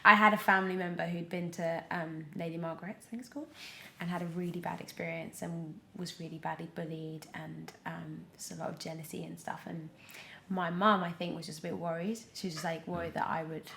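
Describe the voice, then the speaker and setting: A young British woman chatting casually as a podcast guest, telling stories in an animated way peppered with "like". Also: fairly low pitch, brisk, creaky, slight background noise. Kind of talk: casual interview chat. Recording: roomy, echoey sound.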